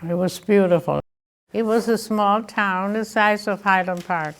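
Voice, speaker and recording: A woman in her nineties from Germany, recounting her childhood slowly and deliberately in a seated interview indoors. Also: medium pitch, fairly even intonation, low-quality recording. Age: 90s. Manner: slow, deliberate recollection. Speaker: Holocaust survivor interviewee. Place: indoor interview set with photo wall.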